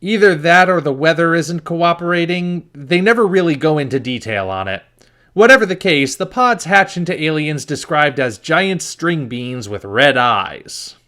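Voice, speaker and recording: A man's voice: a little high, animated, normal speed, bright, forceful tone. A man reading a scripted review as host, with a wry, critical manner.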